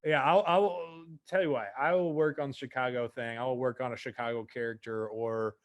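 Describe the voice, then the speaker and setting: A man's voice: mid-range voice, fairly even intonation, brisk, no echo. A young man chatting casually as a podcast guest, easygoing and rambling with many restarts. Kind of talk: casual interview chat.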